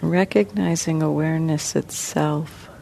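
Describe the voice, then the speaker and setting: A woman leads a guided meditation in a soft, dark, relaxed voice. She speaks slowly and gently, leaving long pauses between phrases. A faint low rumble sits behind her. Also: creaky, low-quality recording. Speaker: meditation teacher.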